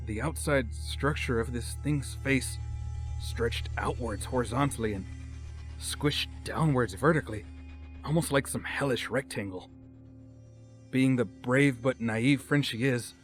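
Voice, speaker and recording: A man's voice: medium pitch, close to an everyday voice. Man as a shaken, stammering horror survivor. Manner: halting, stammering, distressed. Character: shaken young male survivor of a monster attack. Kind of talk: horror voice-over monologue.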